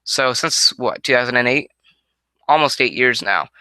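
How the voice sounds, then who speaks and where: A man's voice: medium pitch, lively intonation, normal speed, sharp tone, clear, resonant tone, no echo, low-quality recording. Young man rambling into a headset. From Connecticut, USA. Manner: rambling, many restarts and self-corrections. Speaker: vlogger answering viewer questions. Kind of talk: casual Q&A monologue. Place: small room at home, indoors. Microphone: headset microphone.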